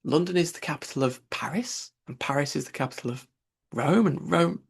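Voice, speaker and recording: Guy doing a worried little girl from a storybook, chatty, breathy, higher voice. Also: brisk. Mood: puzzled, fretful. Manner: muses aloud to self, fretful. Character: anxious, chattering young girl, easily upset. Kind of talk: storybook character voice.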